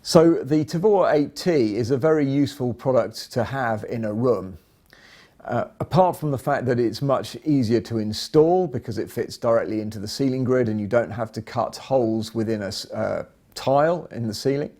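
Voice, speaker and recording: Mellow-voiced man presenting a product. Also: medium pitch, normal speed. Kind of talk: instructional product demonstration.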